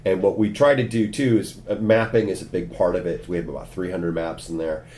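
A Californian American man, a history lecturer, answering interview questions in his office, often pausing with ums and restarting. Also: deep voice, steady tone, a little mellow. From California, USA. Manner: frequent ums and restarts, lightly wry. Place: university office. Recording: imperfect office recording.

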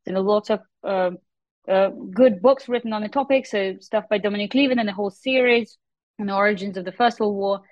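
A woman's voice: mid-range voice, fairly quick, darkish tone, no room sound, dull audio. A young adult woman from Russia with a Russian accent, explaining her research as an interview guest. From Moscow, Russia.

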